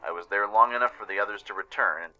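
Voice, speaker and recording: Deep, very bright male dramatic narrator. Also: fast talker, highs cut off, faint music behind. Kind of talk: dramatic scripted first-person narration.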